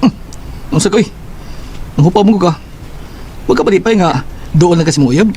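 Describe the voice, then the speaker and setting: A man's voice: sits high, lively intonation, moderate pace, warm tone, slight background noise. An actor with a Cebuano accent playing a blunt, teasing male friend and coworker. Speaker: radio drama actor. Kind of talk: Cebuano radio drama acting.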